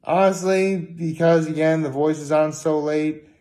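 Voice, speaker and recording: A tired man giving a casual, rambling vlog monologue, haltingly circling back and repeating himself. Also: fairly high pitch, steady tone, warm tone. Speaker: daily vlogger.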